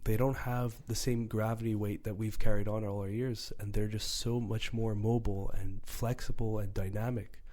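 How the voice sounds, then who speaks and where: A man's voice: lowish voice, flat delivery, darkish tone. A man co-hosting a podcast in casual chat, easygoing and agreeable. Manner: easygoing, agreeable, quick to laugh. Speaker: podcast co-host.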